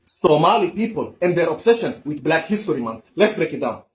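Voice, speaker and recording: A man's voice: on the high side, big pitch swings, fairly quick, reverberant. A man delivering an insistent, lecturing opinion monologue to camera.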